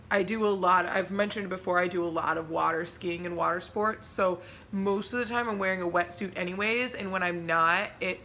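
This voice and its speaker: A chatty young woman in her twenties talks straight to her viewers in a relaxed, rambling way, full of "like" and "um". Her voice is bright and a little sharp, and it leaps up when she gets excited. A faint hum of room ambience sits underneath. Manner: laughs easily, pitch jumps when excited. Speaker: vlogger. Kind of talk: casual chat to camera.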